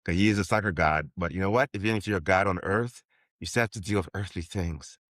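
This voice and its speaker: A man's voice: low-pitched, fast talker, fairly bright tone, no room sound, clean studio sound. A man in his fifties from Haiti, a novelist guest talking in a rambling way with many restarts and ums. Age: 50s. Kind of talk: interview conversation.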